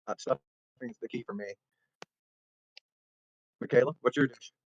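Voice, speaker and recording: A man's voice: mid-range voice, unhurried, dry recording, lo-fi sound. A man hosting a casual chat show in a playful, joking manner. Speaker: host.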